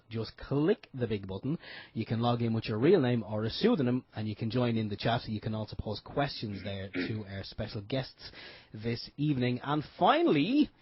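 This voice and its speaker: Male co-host, raspy mid-pitched voice, dry close sound, relaxed and jokey. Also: dry recording. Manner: relaxed, jokey, repeats himself. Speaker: radio show co-host. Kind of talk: casual radio chat and announcements. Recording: dull lo-fi internet radio audio.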